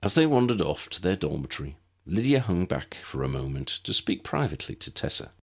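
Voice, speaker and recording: A man's voice: low voice, big pitch swings, fairly quick. A man narrating a scripted audiobook story.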